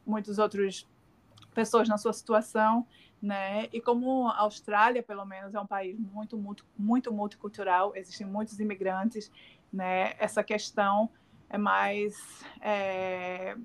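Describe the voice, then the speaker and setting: A middle-aged woman with a Northeastern Brazilian accent, speaking at an ordinary middle pitch. She talks in a halting, searching way, full of fillers, restarts and tag questions, as an interview guest. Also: steady tone. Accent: Northeastern Brazilian Portuguese. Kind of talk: casual remote interview.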